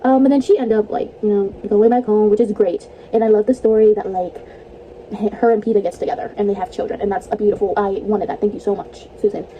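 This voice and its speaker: A woman's voice: mid-range voice, fairly even intonation, fast, warm tone, lo-fi sound, a little hiss or hum. A young woman vlogging casually about movies, chatty and rambling, laughing often, her voice a bit stuffy and tired. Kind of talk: casual movie review vlog.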